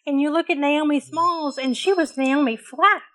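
A woman co-hosting a casual recap show, speaking with a hoarse voice, chatty and opinionated. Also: high-pitched, normal speed, a bit bright. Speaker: co-host.

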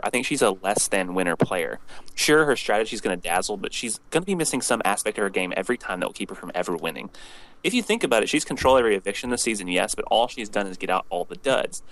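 A man's voice: lowish voice, very animated, fast, a bit bright, poor audio. A man from Texas leaving a prepared opinion message as a voicemail caller. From Texas, USA.

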